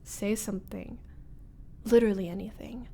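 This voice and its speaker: A woman playing a teasing young former school bully turned nervous roommate, in an intimate ASMR roleplay with halting, breathy delivery that drops into whispers. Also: medium pitch, steady tone, mellow, slight background noise, lightly acted. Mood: exasperated, needling.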